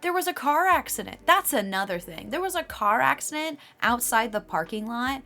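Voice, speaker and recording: A woman streaming live, chatting casually with her viewers and laughing often, her voice a little raspy. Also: on the high side, lively intonation, moderate pace, pushed and bright, faint music behind. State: slightly raspy voice.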